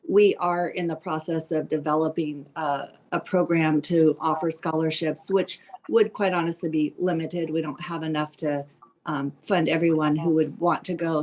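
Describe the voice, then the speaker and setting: A woman with a low voice speaks on an online group call as a charity's treasurer. She explains matters in a considered, measured manner. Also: a little mellow. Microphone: online conference call.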